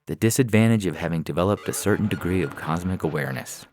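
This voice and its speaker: A man acts out a stern, impatient alien project leader in a science fiction audio story. The voice is low and insistent, with faint music beneath it. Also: lively intonation, fast talker, a little mellow. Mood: grave, urgent. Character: stern, exasperated alien project leader, duress-worn and unkind. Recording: music under the voice.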